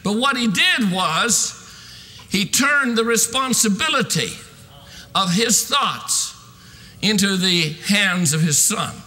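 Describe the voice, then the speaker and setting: High-pitched older preacher, bright and forceful. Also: male voice, lively intonation. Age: 60s. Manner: emphatic, declamatory delivery with drawn-out stressed phrases. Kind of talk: fervent sermon. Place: large church sanctuary, at a pulpit. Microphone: podium microphone. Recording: reverberant hall sound.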